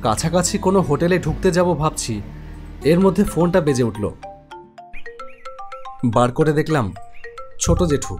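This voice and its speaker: A man's voice: on the high side, normal speed, pauses often, a little mellow, faint music behind. Young man narrating a cartoon horror story. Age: young adult. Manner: conversational, reflective. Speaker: first-person narrator. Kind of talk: scripted storytelling.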